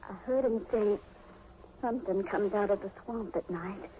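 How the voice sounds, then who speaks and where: A woman's voice: mid-range voice, normal speed, dark tone, close to an everyday voice. An actress playing an anxious seventeen-year-old farm girl in a 1940s radio drama, pleading and hesitant. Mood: hesitant, fearful. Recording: muffled, noisy 1940s radio recording.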